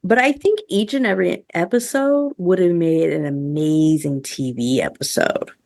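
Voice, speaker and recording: Low-voiced woman chatting on a podcast. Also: moderate pace, a little mellow, no room sound, studio quality. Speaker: podcast host. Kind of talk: casual review chat.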